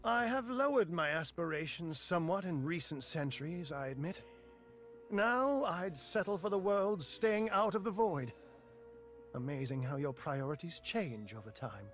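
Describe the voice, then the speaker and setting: An actor playing an ancient, enigmatic wizard in a fantasy video game, speaking in a measured, theatrical and wryly weary way. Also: male voice, fairly high pitch, very animated, a bit bright, soft background music. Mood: reflective, grave.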